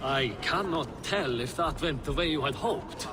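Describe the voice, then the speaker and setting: An actor playing a harried, devoted Norse royal aide in a dramatic video game. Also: male voice, a little high, expressive, measured pace. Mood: gentle, apologetic. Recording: dramatic music underneath.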